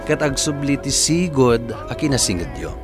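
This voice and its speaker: A man's voice: medium pitch, lively intonation, measured pace. A man with an Ilocano accent, hosting and narrating a radio drama with scripted advice. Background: music.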